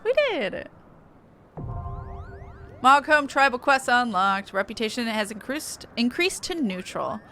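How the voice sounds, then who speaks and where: Bright, high-voiced woman game streamer. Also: sing-song, unhurried, long pauses, soft background music. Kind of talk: casual gameplay commentary, reading game text aloud.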